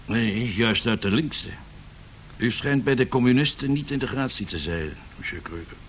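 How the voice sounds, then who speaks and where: Actor as courteous, troubled statesman, mid-pitched. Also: animated, normal speed, natural voice. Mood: troubled, apologetic. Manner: formal, apologetic. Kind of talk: 1970s Dutch radio drama acting. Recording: noisy 1970s radio drama recording.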